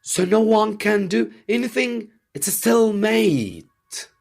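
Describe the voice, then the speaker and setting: A man voicing an anxious, excitable and loyal royal aide in a storybook reading, with urgent, pleading exclamations. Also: high voice, takes it slowly, vocal fry, voice pushed up, heavily acted. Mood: agitated.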